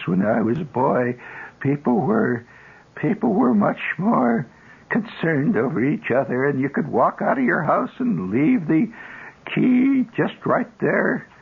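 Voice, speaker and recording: Man putting on a high, whiny old-timer. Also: sing-song, unhurried, creaky, slightly rough, heavily acted. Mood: wistful lament. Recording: muffled tinny old radio broadcast.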